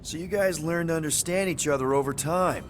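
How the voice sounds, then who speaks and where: An actor playing an easygoing, friendly spaceship crew leader in a video game, speaking in casual, rambling slang. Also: male voice, on the high side, fairly quick, a little hiss or hum, natural voice. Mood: curious, awkward.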